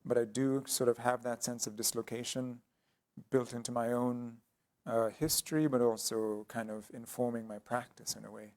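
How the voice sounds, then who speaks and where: Hesitant soft-spoken male artist. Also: medium pitch, level tone, moderate pace. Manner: hesitant, many ums and restarts. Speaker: artist guest. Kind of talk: thoughtful conversational interview.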